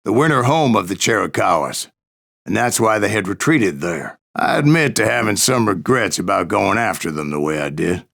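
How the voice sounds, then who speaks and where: A man playing a grizzled old American gunslinger in a video game, telling tall tales with a folksy, wry, gravelly drawl. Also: fairly low pitch, animated, normal speed, a bit bright, heavily acted. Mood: dramatic storytelling. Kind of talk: video game character narration.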